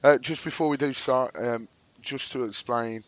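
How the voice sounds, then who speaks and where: A hoarse man co-hosting a casual football podcast and running through team news. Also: mid-range voice, normal speed. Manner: laid-back, self-deprecating. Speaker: podcast co-host.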